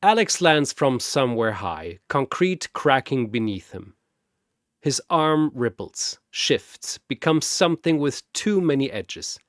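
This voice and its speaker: Male narrator, scripted story, slow delivery, lively rising and falling pitch, dry close sound, wry asides. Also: no echo. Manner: dramatic, wry, deadpan asides.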